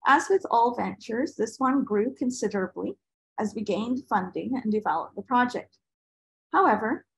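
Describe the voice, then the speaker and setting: An older woman from Barbados reading a prepared presentation in a measured, formal manner on a video call. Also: a little high, husky, rough recording. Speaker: artist and panel presenter. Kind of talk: prepared presentation read aloud. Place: indoor room with bookshelves, on a video call. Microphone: video call microphone.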